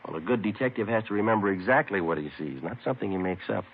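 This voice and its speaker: An actor playing a calm, patient police detective in an old American radio drama, with a clipped, dry, matter-of-fact delivery. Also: male voice, fairly low pitch, fast, slightly rough. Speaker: police detective narrator and lead. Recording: muffled old radio recording, music behind.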